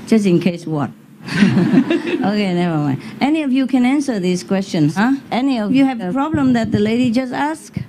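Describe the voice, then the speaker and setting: A woman's voice: fairly high pitch, lively intonation, normal speed, dark tone, a little hiss or hum. A woman leading an informal question-and-answer gathering, who speaks in a chatty, teasing way with short questions. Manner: chatty, teasing, laughs often, brief questions. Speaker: spiritual teacher leading a gathering. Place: large indoor meeting hall with an audience.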